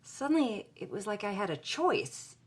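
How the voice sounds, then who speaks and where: A woman's voice: mid-range voice, very animated, normal speed, slightly rough. An actress playing a headstrong, impulsive young woman, speaking candidly and expressively in a podcast-style audio drama. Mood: confiding. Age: young adult.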